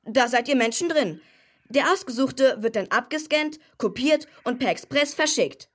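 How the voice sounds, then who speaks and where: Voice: high-pitched, lively intonation, normal speed. A young performer playing a chatty, cocky boy superhero who likes to explain things, in a children's radio play. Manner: breezy, eager, explanatory, casual.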